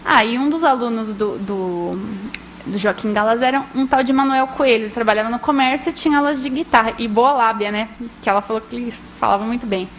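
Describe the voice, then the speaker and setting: A woman's voice: a little high, a little fast, a little hiss or hum, poor audio. A young woman, telling a story in a casual, chatty talk, often tagging her sentences and acting out quotes. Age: young adult. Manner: chatty, frequent tag questions, quotes people in lively voices. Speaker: guest speaker, journalist and biographer. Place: indoor talk at an archive.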